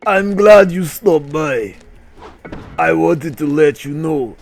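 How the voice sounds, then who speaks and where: A man's voice: on the high side, animated, slow pace, creaky, darkish tone, heavily acted. A man voicing a friendly, familiar talking game block with a Russian accent in a comic story reading. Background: music.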